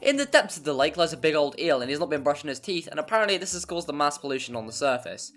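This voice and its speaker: Low-pitched narrator over music. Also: big pitch swings, fast, fairly bright tone. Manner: wry, mildly sweary, hyperbolic. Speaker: video essay narrator. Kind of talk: scripted video game review narration. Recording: music playing behind. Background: background music.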